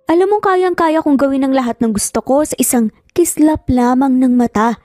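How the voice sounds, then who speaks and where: A woman's voice: sits high, moderate pace, dark tone, no room sound, clean studio sound, soft background music, close to an everyday voice. A woman voicing a cruel, rich older mother in a story, stern, sarcastic and commanding. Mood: angry, threatening.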